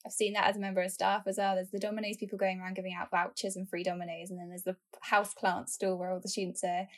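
Young woman host, talks fast and friendly, casual podcast vibe. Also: mid-range voice, flat delivery. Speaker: host, student recruitment officer.

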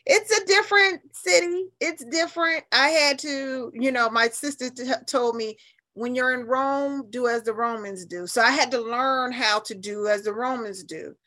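A woman's voice: high voice, moderate pace, bright, forceful tone. A middle-aged American woman talking casually as an interview guest, in an outspoken, emphatic and colloquial way. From New Haven, Connecticut, US.

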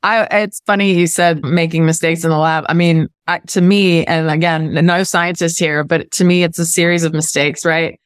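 A woman's voice: lowish voice, fairly even intonation, moderate pace, few pauses, clear, resonant tone, no room sound, studio quality. A woman hosting a conversational podcast interview, affirming and reflective in how she responds. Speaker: podcast host.

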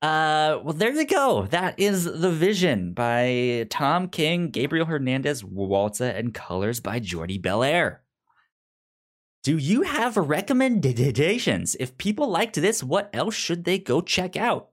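A man talks as a podcast co-host in an unhurried, casual way. His delivery is halting, with stammers and repeated words as he works through his thoughts. It sounds like an informal discussion between hosts. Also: a little high, big pitch swings. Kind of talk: casual comic book discussion.

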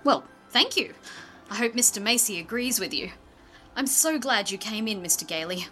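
A woman's voice: mid-range voice, lively intonation, normal speed, bright, forceful tone, soft background music. An actress playing a practical, no-nonsense mother in a radio drama, polite but guarded. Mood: brisk, polite. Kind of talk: old-time radio drama acting.

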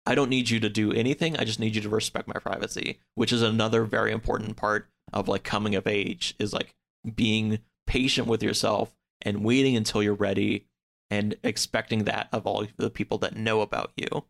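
Low-voiced, creaky man chatting casually. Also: flat delivery, a little fast, fairly bright tone. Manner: rambling, peppered with "like"; acts out characters' lines in quotes. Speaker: podcast guest. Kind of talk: casual chat, retelling a story.

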